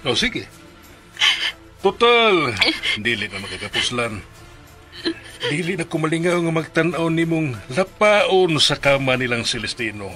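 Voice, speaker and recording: A man's voice: on the high side, big pitch swings, measured pace. An actor playing a lecherous, threatening landowner who taunts his victim in a radio drama. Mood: gloating menace. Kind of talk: radio drama villain acting. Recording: music behind.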